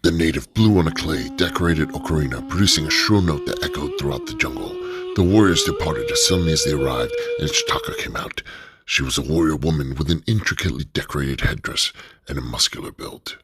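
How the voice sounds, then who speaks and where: A man narrating an adventure radio drama in a measured storytelling manner. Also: very deep voice, wildly swooping pitch.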